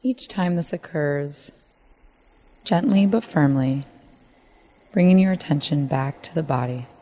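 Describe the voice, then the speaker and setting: A woman guides a meditation in a low-pitched, soft-toned voice. She is gentle and measured, leaving long pauses between phrases. Her timbre is dark and relaxed.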